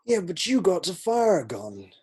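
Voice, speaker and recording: A man's voice: sits high, very animated, normal speed, a little mellow, slightly rough, full character voice. Man reading an eager naive young constable. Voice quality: eager, chatty.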